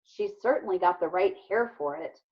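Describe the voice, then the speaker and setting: A woman's voice: on the low side, lively intonation, moderate pace, natural voice. A woman voicing a teasing, sarcastic girl with a theatrical manner in a children's storybook read-aloud. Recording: muffled, lo-fi recording.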